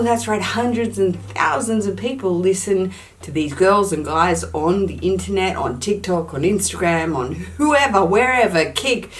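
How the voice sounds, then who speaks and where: Woman, lowish voice, emphatic, repeats herself for stress, casual vlog talk. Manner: uses "allegedly" often as a hedge. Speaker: YouTuber.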